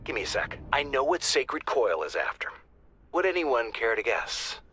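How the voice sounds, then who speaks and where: Bright, animated, wry mission controller. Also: male voice, mid-range voice, normal speed, slightly rough, exaggerated voice. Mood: brisk, wry. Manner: wry, urgent, informal. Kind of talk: game mission dispatch. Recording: music under the voice.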